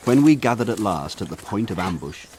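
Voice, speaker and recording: An actor playing a grown man who narrates his rough village boyhood in a measured, wry radio drama style. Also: lowish voice, very animated, darkish tone, a little hiss or hum. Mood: wry, reflective. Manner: measured, wry, lyrical phrasing. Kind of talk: scripted radio drama narration, literary storytelling.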